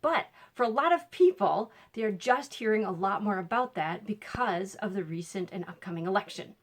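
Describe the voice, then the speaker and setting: A woman with a clear Minnesotan accent talks straight to her viewers like a coach. Her voice sits mid-range, chatty and upbeat, with easy fillers and small laughs. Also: mid-range voice, expressive, moderate pace. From Minnesota, USA. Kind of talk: casual direct-to-camera talk.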